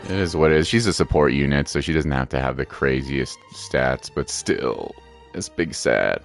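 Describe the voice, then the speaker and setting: A man's voice: rumbling low, animated, normal speed, vocal fry, soft background music. A man giving casual, playful gameplay commentary as a streamer, often repeating his phrases. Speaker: game streamer.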